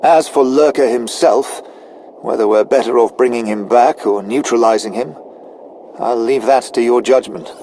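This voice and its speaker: A man's voice: mid-range voice, normal speed, dark tone, slight background noise, natural voice, rough recording. Formal, eager alien military officer played by a man. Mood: formal, deferential. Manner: formal, deferential, precise. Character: non-human military officer, anxious, deferential, eager to prove loyalty. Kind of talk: scripted video game dialogue.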